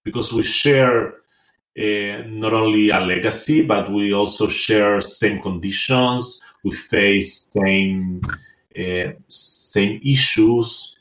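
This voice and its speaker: Man from Rapa Nui, lowish voice, halting and thoughtful, roomy sound. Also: a bit bright. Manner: hesitant, thoughtful, many fillers and restarts. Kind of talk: conversational interview.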